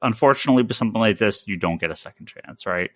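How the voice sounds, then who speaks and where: A man's voice: fairly low pitch, lively intonation, very fast, a bit bright, no room sound, studio quality. A man hosting a casual comics podcast, talking emphatically and with strong opinions, often restarting and repeating phrases. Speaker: podcast host, comic store owner.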